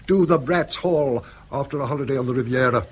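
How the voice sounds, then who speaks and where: A man's voice: medium pitch, brisk, husky, close to an everyday voice. An actor playing a cantankerous old English father in a British radio drama, blunt, dry and sardonic. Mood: grumbling, sardonic. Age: older. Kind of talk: mid-century British radio drama acting.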